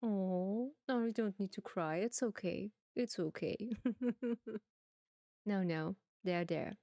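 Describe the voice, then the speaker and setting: A woman streamer with a German accent, playfully chatting to viewers in simple, halting English while she plays a farming game. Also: medium pitch, warm tone, dry recording, clean studio sound.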